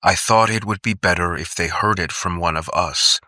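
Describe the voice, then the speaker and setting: A man voicing a cautious male doctor in an audiobook, measured and smooth, gently admonishing. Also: deep voice, no echo, studio quality. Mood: tense.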